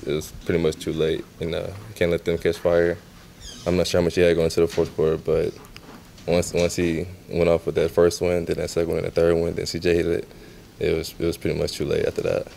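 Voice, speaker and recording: A young man talks after a basketball game in a locker room, his voice low and dark with a gravelly edge. He answers in a flat, halting way, full of fillers. A faint hum sits behind him. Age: young adult. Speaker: basketball player.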